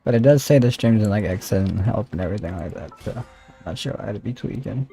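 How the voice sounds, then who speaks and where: A man's voice: fairly low pitch, lively intonation, fast talker, creaky, mellow, soft background music. A man livestreaming a game, chatting casually with viewers in a chummy, slangy way. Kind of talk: casual game stream chat.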